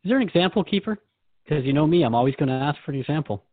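A man's voice: a little high, expressive, racing, no echo, narrow, tinny audio. A man playing a curious, deferential interviewer, speaking in a polite, slightly hesitant conversational way in a scripted podcast. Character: Jeff, curious, deferential male human interviewer.

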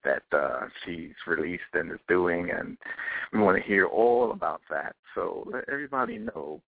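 A man hosting a casual radio interview, relaxed and often repeating words as he finds his phrasing. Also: deep voice, moderate pace, creaky. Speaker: radio show host. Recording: dry, tinny phone-like line.

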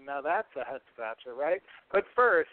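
A man's voice: on the high side, sing-song, moderate pace, no room sound, phone-quality sound. A man hosting a podcast in an upbeat, scripted presenting style. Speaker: podcast host.

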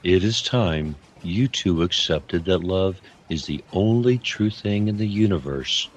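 Man acting deep, slow, fatherly mystery guide. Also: a little hiss or hum. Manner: gentle, deliberate, reassuring. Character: calm, wise, fatherly mystery man guiding souls on a train. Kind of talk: fantasy audio drama acting.

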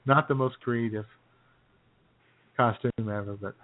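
A man's voice: mid-range voice, fairly even intonation, measured pace. A man co-hosting a radio show in casual, comedic chat, telling stories in a jokey, self-deprecating way. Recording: tinny phone-like sound.

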